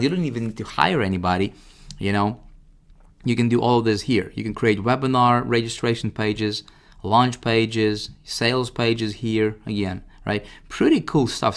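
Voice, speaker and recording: A man presenting a casual, informal software tutorial, talking directly to the listener and often checking that they follow. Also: mid-range voice. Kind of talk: casual screen-share product walkthrough.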